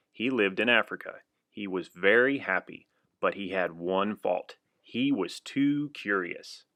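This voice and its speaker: A man reading a children's picture book aloud in an expressive, storytelling way. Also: fairly low pitch, dry recording. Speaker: volunteer reader of a children's book.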